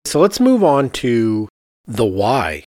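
A man's voice: mid-range voice, huge pitch swings, takes it slowly, a little mellow, dry recording, studio quality. A man co-hosting a podcast, explaining things casually and conversationally, often thinking aloud. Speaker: podcast co-host, architect.

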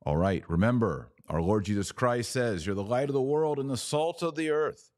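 A man's voice: mid-range voice, animated, moderate pace, a little mellow, no echo, studio quality. A middle-aged American man hosting a religious podcast, speaking conversationally in an emphatic, folksy, preachy way. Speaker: podcast host. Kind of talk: conversational religious commentary with exhortation.

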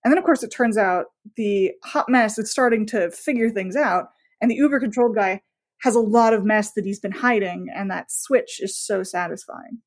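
A woman's voice: mid-range voice, moderate pace. A woman chatting casually as a podcast guest, effusive and enthusiastic. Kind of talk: casual chat.

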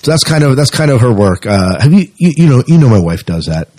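A man hosting a podcast in a casual, conversational way, sometimes halting and repeating his words. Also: medium pitch, very animated, mellow, no room sound. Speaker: podcast host.

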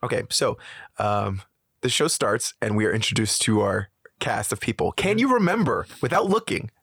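A man co-hosting a casual chat, recorded close and dry with no room sound. His lively voice leaps high when something surprises him, and he laughs easily. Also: normal speed, husky, no room sound. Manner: excitable, jumps high in pitch when surprised, laughs often. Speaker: podcast co-host. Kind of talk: casual chat recapping a reality show.

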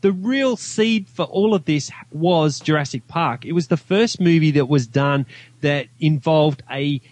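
A man's voice: a little high, measured pace, a little mellow, no room sound. An Australian man talking casually about films as a podcast guest, with an emphatic, opinionated, slangy manner. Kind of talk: casual film discussion.

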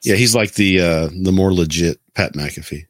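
A man with a deep, low voice chats casually as a podcast co-host. He is dry and wry in his remarks, and the recording is close, with very little room sound. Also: normal speed, no echo.

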